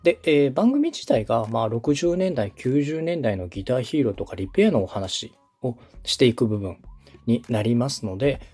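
Husky, mellow man with lively intonation. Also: medium pitch. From Osaka, Japan. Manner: polite, methodical, summarizing point by point. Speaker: podcast host, radio director coaching a podcaster. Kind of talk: structured, explanatory coaching talk.

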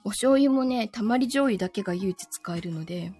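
A woman's voice: mid-range voice, lively intonation, brisk, mellow, husky, faint music behind. A woman hosting a live stream, chatting casually in a playful, giggly way and reading out listener comments. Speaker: live stream host and voice performer.

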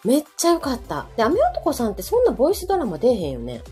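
Chatty Kansai woman with lively intonation. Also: on the high side, fast. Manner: chatty, informal. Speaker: podcast co-host. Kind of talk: casual chat on a live podcast. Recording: background music under the talk.

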